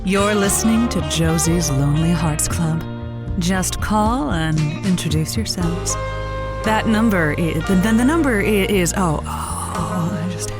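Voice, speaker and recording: A woman acts out a novice late-night radio hostess for an audio comedy. Her voice is fairly low for a woman and unhurried. She leans grandiose and theatrical, with a flustered, nervous edge. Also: loud music behind the voice, natural voice. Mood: flustered stammering. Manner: flowery, grandiose on air, stammering when nervous. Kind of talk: audio comedy drama acting.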